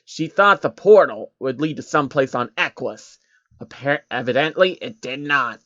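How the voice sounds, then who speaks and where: Man playing a cheeky little insect changeling, lively pitch. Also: normal speed, no room sound, muffled recording. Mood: matter-of-fact. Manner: casual, matter-of-fact. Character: small insect-like changeling creature, laid-back and cheeky. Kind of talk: storybook character voice in amateur fan fiction reading.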